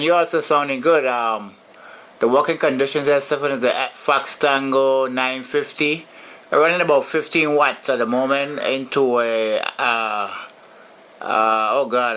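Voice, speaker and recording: A man's voice: mid-range voice, moderate pace, sharp tone. A man making easygoing, casual small talk as an amateur operator over two-way radio, using operator jargon. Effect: two-way radio transmission. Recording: radio transmission with background static.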